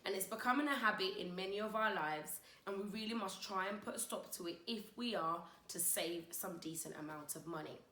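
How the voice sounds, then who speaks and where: A woman with a croaky voice from being unwell, giving chatty, direct money-saving advice to her viewers in a casual vlog. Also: medium pitch, fairly quick, few pauses, pushed and bright, roomy sound. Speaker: finance vlogger.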